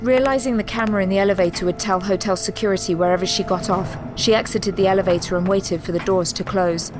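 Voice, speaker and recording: An actress narrating a scripted audio drama as a composed third-person storyteller. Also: female voice, mid-range voice, steady tone, fast, music in the background, natural voice. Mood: tense narration.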